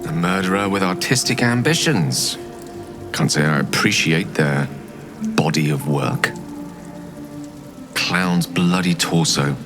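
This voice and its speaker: A dry, morbidly witty adventurer for a video game. His voice is low and musing, with music clearly underneath. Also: slow, pauses often.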